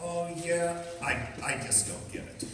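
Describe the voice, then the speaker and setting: A man playing a gruff, sarcastic, exasperated police inspector in an old-time radio detective drama, full of bluster. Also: a little high, animated, unhurried. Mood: skeptical. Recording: echoey hall, distant, faint noise.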